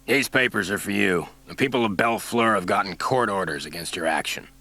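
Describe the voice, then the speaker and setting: A man's voice: lowish voice, a little fast, pushed and bright, no echo. A man voicing a matter-of-fact male small-town cop.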